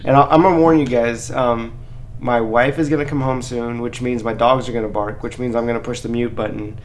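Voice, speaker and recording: A man's voice: mid-range voice, steady tone, brisk, a little hiss or hum. A man hosting a casual live chat, talking in an informal, encouraging and slangy way as he helps listeners out. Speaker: live Q&A host, crypto mining helper.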